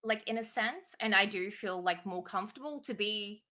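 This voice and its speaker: An Australian woman chats as a podcast guest, speaking softly with frequent ums and light laughter. Her voice has a bright, clear edge. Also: mid-range voice, moderate pace. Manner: soft-spoken, many ums, easy laughs. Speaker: guest streamer. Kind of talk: casual interview chat.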